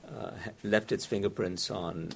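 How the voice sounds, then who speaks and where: A man with a very low, gravelly voice, speaking in a casual academic discussion. He works through his questions haltingly, restarting phrases as he checks his reading of the findings. There is a faint hiss underneath. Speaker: faculty researcher.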